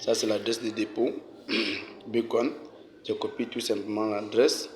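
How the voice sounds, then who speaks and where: A man hosting a casual tutorial, walking viewers through each step, often saying "ok" and "euh" and clearing his throat. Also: lowish voice, little pitch movement, a little slow, slight background noise. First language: French. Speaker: channel host giving a tutorial. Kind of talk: casual screen-recorded walkthrough.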